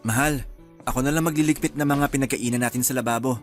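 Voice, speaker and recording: A man's voice: medium pitch, steady tone, quick pace, close to an everyday voice. Man acting mild young Filipino husband, music behind. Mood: placating. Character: young husband, mild, placating, devoted to his family. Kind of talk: Filipino radio drama acting.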